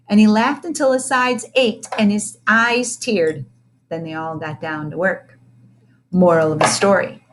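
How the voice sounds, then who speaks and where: A woman reading a children's storybook aloud as narrator, in an expressive, deliberate manner. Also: medium pitch, a little hiss or hum, rough recording. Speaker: storybook reader.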